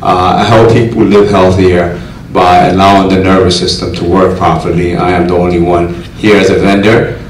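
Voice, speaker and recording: A man's voice: sits low, level tone, moderate pace, few pauses. A man from Ethiopia, a health practitioner, introducing himself to a room with a joke or two. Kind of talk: self-introduction to a group. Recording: echoey room, distant mic, faint noise.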